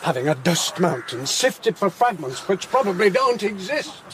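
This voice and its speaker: An actor with a British accent playing an excitable, sceptical Victorian gentleman with an emphatic manner in a radio drama. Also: high voice, moderate pace, slight background noise. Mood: scornful.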